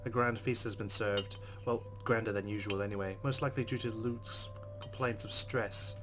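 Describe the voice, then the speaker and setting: A man's voice: fairly low pitch, fairly even intonation, moderate pace, music in the background. A man playing a visual novel, reading its narration and adding wry, amused asides. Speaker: let's play gamer. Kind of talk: reading game text and reacting.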